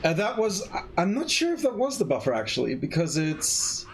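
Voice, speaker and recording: A man giving casual live speedrun commentary in a playful, halting way, often repeating words. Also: high voice, wildly swooping pitch, a little hiss or hum. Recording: loud game music behind voice. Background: game music, keyboard typing.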